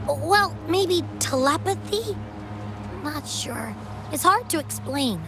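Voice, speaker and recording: A woman voicing a lively, inquisitive young adventurer in a video game, musing and questioning aloud. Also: high-pitched, slow, over music, exaggerated voice, poor audio. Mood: curious, puzzled. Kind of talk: game voice acting.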